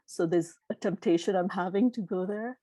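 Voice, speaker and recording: A woman's voice: mid-range voice, normal speed, dark tone, no echo. A middle-aged woman whose first language is Gujarati, answering questions informally as a keynote speaker in an encouraging, earnest way. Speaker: keynote speaker, literary publisher.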